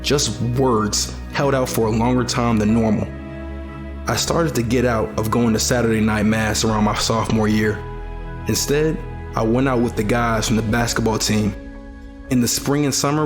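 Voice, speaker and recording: A man's voice: mid-range voice, lightly acted. A man playing a weary, disillusioned young survivor in an audio drama, reflective and plain-spoken. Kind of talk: first-person audio drama narration and dialogue. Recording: loud music behind the voice. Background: music.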